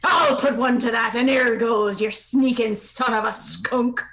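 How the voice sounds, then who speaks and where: A woman's voice: fairly high pitch, a little slow, fairly bright tone. A woman playing a challenging pirate crewman in a comedic read, breaking off into jokey asides. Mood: mock defiant. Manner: self-interrupting, jokey, apologetic asides. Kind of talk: live comedic table read.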